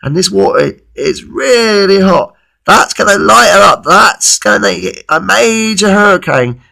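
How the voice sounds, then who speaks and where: A man of thirty-one, losing his voice with a cold, gives an informal, halting weather forecast commentary and often repeats himself. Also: high voice, lively intonation, creaky, pushed and bright. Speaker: amateur weather vlogger.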